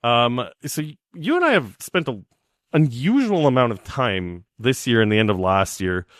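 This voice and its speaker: A man's voice: mid-range voice, sing-song, moderate pace, a bit bright, no echo, studio quality. A man in his forties co-hosting a podcast, telling stories in a chatty way with frequent ums. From Canada. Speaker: podcast co-host. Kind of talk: casual chat.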